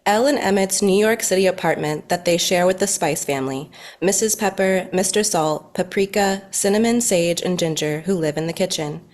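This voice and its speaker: A woman's voice: on the low side, moderate pace, a little mellow. A woman reading stage directions as scripted narration at a table read.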